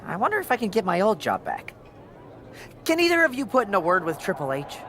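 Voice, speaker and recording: Whiny, high, bright male captive. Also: huge pitch swings, brisk, a little hiss or hum, heavily acted. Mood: indignant, sarcastic. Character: whiny, self-pitying, bargaining male captive in a video game.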